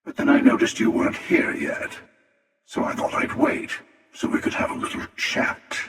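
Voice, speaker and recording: An actor playing a menacing, sardonic, world-weary armored warrior in a video game, with a gravelly, taunting, unhurried delivery. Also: male voice, a bit bright, lightly acted. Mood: wry, conversational. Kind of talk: video game character voice lines. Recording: cavernous long reverb, distant.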